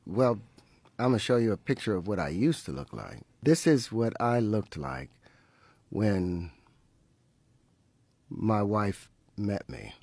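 Husky man in his fifties. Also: on the low side, big pitch swings, darkish tone, no room sound. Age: 50s. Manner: halting, stops and restarts, laughs often. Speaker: podcast guest. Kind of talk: interview conversation. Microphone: podcast mic with headphones.

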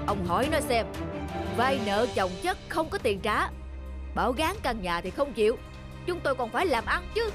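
A man voicing a menacing debt collector in an animated cartoon, threatening and blunt. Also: very high-pitched, normal speed, over music.

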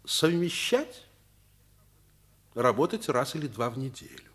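An actor playing a fatherly, earnest middle-aged school director in a Soviet radio play, explaining in a measured, persuasive way. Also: medium pitch, very animated, fairly bright tone.

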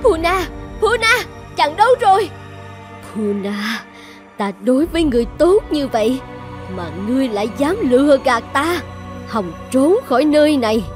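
A proud young dragon goddess played by a woman with a high voice. She speaks theatrically and clearly, like a cartoon fairy-tale wife, over faint music. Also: lively intonation, moderate pace. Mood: betrayed, vengeful. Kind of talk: animated fairy tale dubbing.

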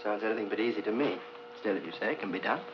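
A man's voice: fairly low pitch, rapid-fire, lightly acted. An actor playing an earnest, determined male scientist in a 1950s science fiction film. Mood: earnest, probing. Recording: muffled old film soundtrack with hiss.

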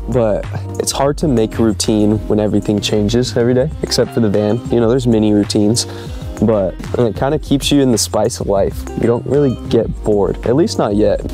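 Young van-life host, relaxed and reflective, low and mellow. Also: male voice, normal speed, soft background music. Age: young adult. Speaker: vlog host, van lifer. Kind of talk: casual vlog chat and narration. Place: outdoors by a van, then inside a campervan.

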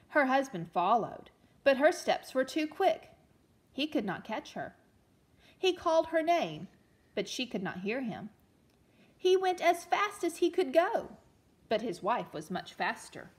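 A woman reading a storybook aloud to young children as a teacher, expressively, pausing to explain and ask questions. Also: high voice, big pitch swings. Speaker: elementary teacher reading a picture book aloud.

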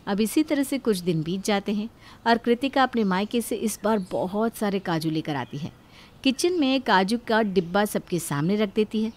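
A woman's voice: medium pitch, lively intonation, moderate pace, a little mellow. A woman narrator telling a cartoon story in scripted storytelling style.

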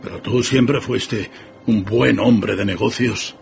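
Creaky, grumpy old miser. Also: male voice, fairly low pitch, steady tone, slow pace, heavily acted. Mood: wary, sardonic. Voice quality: creaky, gravelly. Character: grumpy, anxious old miser, stubborn and sardonic. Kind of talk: radio drama acting. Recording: dull muffled recording under music.

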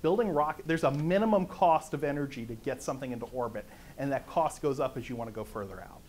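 A middle-aged man with a conversational, lively manner, lecturing to a conference audience in a meeting room. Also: mid-range voice, quick pace, slight background noise. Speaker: conference speaker. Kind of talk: lecture on economics.

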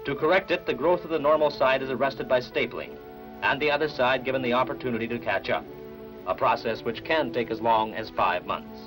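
Casting: male newsreel announcer, 1960s style, formal and measured, mid-pitched, with music clearly behind. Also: rough recording. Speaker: newsreel narrator. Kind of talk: 1960s newsreel scripted narration. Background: background music.

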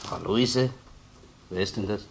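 An actor playing a courteous, dryly persistent police investigator in a German radio crime drama, questioning with little hums and tag words. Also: male voice, fairly low pitch, measured pace, creaky. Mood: probing, polite. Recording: noisy old radio play recording.

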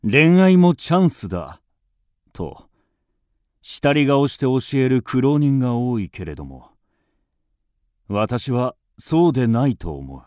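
An actor narrating a radio drama as a wry, self-assured writer, deliberate and dryly ironic. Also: male voice, mid-range voice, very animated, darkish tone, dry recording, clean studio sound. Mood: opinionated, wry.

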